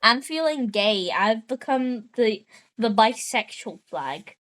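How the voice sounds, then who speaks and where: A woman's voice: on the high side, lively intonation, fairly bright tone. A woman hosting a show, joking and teasing in casual chat, often breaking into giggles. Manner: giggly, teasing, deliberately jokey. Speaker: show host.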